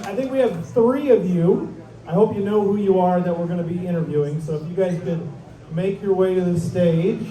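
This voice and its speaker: Joking middle-aged emcee in echoey hall. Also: male voice, sits high, far from the mic, noisy background. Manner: jokey, teasing, upbeat. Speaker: event emcee. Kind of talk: emcee banter introducing players. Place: large indoor event hall. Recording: echoey hall from afar with crowd.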